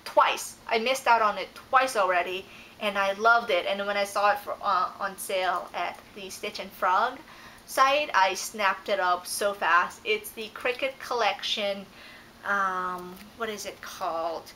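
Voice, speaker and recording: Chatty young woman, bright clear voice. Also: medium pitch, measured pace, slight background noise, low-quality recording. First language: Dutch. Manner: chatty, rambling, frequent ums and self-corrections. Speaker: cross-stitch vlogger. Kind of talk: casual show-and-tell haul. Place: living room couch, indoors. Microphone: fixed camera at close range.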